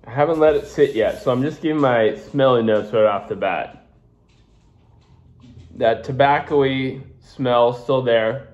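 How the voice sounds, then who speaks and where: A man talks slowly and loosely, restarting his sentences as he works. His pitch sits in a plain middle range. He is recorded on a phone camera in a home kitchen. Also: a little mellow, highs cut off. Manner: rambling, restarts often, talks while working. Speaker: coffee reviewer. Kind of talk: casual home coffee review.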